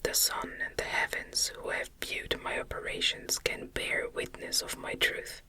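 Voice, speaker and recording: A woman voicing an anguished, guilt-ridden young man in an impassioned breathy whisper, in a storybook reading. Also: a little slow, bright, forceful tone. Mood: desperate insistence.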